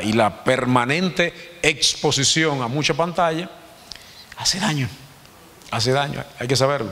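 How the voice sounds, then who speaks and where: A man's voice: mid-range voice, fairly bright tone. Dominican man in his fifties, reverberant hall. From Dominican Republic. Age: 50s. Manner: conversational, joking, asks the congregation "¿verdad?". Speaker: church teacher. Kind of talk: informal Sunday teaching. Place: church hall.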